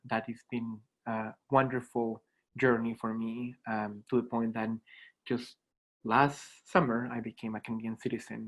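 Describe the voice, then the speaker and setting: A man in his thirties from Colombia, a native Spanish speaker with a Colombian accent, introducing himself to students as a lecturer in a reflective, halting way. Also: medium pitch, poor audio. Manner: reflective, halting, with repeated words. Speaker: adjunct philosophy lecturer. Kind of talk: informal self-introduction to students.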